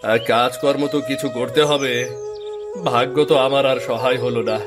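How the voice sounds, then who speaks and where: An actor voicing a bitter, self-pitying village farmer in a moral-story cartoon, dramatic and complaining. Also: male voice, medium pitch, steady tone, normal speed, over music, natural voice. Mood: resigned, grumbling.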